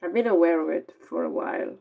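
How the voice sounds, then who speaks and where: A woman's voice: lowish voice, quick pace, vocal fry, narrow, tinny audio. A Norwegian-accented woman, a native Norwegian speaker, giving a casual late-night tarot reading in a blunt, sarcastic, rambling way, sounding tired. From Norway. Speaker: tarot reader.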